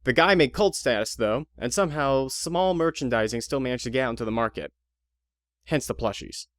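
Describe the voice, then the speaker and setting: Casting: male narrator for audio drama, medium pitch, informal and wry, close dry sound with no room. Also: animated, fairly quick, no echo. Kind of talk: conversational scripted narration.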